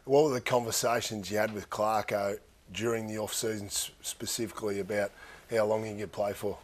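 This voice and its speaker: Lower-voiced panelist asking long questions. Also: male voice, flat delivery, a little fast. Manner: long, multi-part questions. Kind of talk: interview questions.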